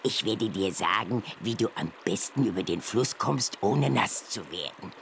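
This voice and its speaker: An actress playing a kindly old witch aunt in a children's game, creaky and instructive, in a drawn-out theatrical manner. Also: rumbling low, animated, slight background noise. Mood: instructive, caring. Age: older. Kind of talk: children's game character dialogue.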